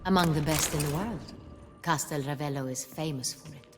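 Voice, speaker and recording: Woman acting an imperious, low-voiced female sovereign. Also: a little fast, music in the background, close to an everyday voice. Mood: composed, authoritative. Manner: regal, commanding, clipped. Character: imperious female sovereign, commanding and sharp-tempered. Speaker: video game character. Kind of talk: dramatic video game dialogue.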